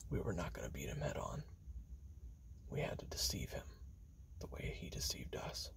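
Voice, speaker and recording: A man playing a weary, grim assassin who records his memoirs as a slow, halting confessional monologue in a gravelly, breathy half-whisper. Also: a bass voice, wildly swooping pitch, exaggerated voice. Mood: grim, reflective. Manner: slow, halting, hushed, with long pauses.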